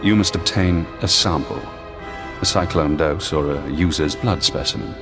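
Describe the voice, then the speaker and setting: An actor playing a brilliant, paranoid imprisoned intelligence analyst in a video game, speaking in measured, clipped commands. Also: male voice, extremely low pitch. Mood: calculating. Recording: long hall-like reverb, music behind. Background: game music.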